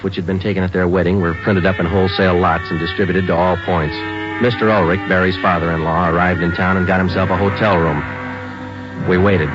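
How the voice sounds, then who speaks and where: A man's voice: sits low, lightly acted. An actor playing a terse, matter-of-fact police detective sergeant narrating his case in 1940s radio style. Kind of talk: 1940s radio acting, clipped scripted narration. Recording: dull old radio recording, loud music.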